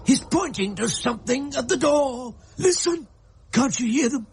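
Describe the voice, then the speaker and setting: An actor playing an anxious, gentle elderly father in a radio drama, speaking hesitantly in a husky voice. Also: extremely high pitch, lively intonation, moderate pace, full character voice. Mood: awed, fearful. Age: older. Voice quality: husky, creaky.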